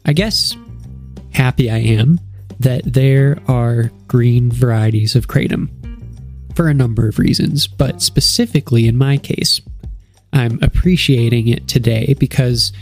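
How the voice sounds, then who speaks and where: A young man with a head cold talks in a nasal, stuffy voice at a mid pitch. He chats casually on his podcast, upbeat and rambling. Faint music sits behind him. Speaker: podcast host. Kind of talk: casual solo podcast talk.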